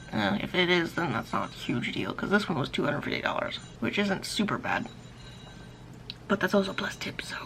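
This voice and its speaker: A young woman vlogging in a casual, rambling way, her voice raspy and tired, grumbling and swearing now and then. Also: medium pitch, a bit bright, a little hiss or hum, poor audio. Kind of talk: casual vlog chat.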